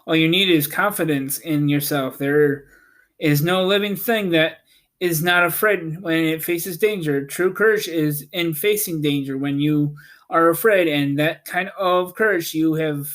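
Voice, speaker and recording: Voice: mid-range voice, a little slow, a bit bright, close to an everyday voice. A reader voicing a meek, kindly little man who is a humbug wizard, apologetic and reassuring, in a storybook. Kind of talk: storybook character reading.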